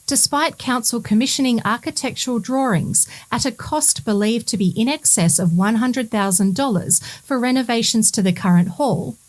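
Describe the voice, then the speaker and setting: A woman's voice: fairly high pitch, rough recording. Woman reading community news. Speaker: reader of community bulletin stories. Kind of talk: scripted news narration, read aloud.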